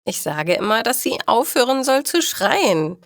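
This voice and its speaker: A woman's voice: on the high side, very animated, a little slow, dry recording, studio quality, pitched above the performer's own voice. A woman voicing an emphatic, courteous lady, a flustered pet owner in a storybook. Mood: apologetic. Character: embarrassed, polite lady who owns a noisy parrot.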